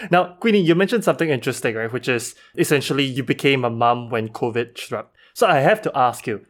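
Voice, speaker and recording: A man hosting a podcast interview, friendly and encouraging, reading an intro and then asking questions. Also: mid-range voice. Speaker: podcast host.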